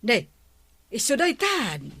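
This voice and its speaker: A woman's voice: a little high, huge pitch swings, slow pace, a bit bright, breathy edge, heavily acted. An actress playing a teasing, nosy village gossip woman in an Ilocano radio comedy, with an Ilocano accent. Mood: amused, nosy.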